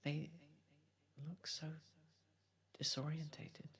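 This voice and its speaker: Slow, gravelly, soft-spoken male vampire acted by a man. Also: a little high, little pitch movement. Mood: worried, gentle. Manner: soft-spoken, often whispering, formal wording. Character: posh, cozy, gentle male vampire, protective rescuer. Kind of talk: ASMR roleplay audio drama.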